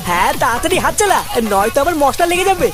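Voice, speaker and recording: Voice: sits high, very animated, racing. A performer voicing a bossy, practical male gang leader in a comedy cartoon, handing out orders. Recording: music behind the voice. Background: background music.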